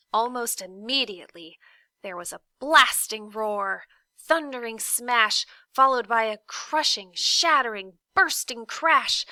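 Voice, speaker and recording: Slow, bright-voiced woman reading a story aloud. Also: mid-range voice, no echo. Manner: dramatic, acts out sounds with swells and hushes. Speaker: teacher reading aloud for a classroom. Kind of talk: expressive children's storybook read-aloud.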